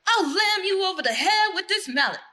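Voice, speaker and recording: A high, piercing, exasperated Southern town boss, played by a woman. He scolds and demands answers in broad country stage dialect, with big swings in the voice. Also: animated, brisk, pushed and bright, heavily acted. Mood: impatient, threatening. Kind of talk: theatrical dialect comedy.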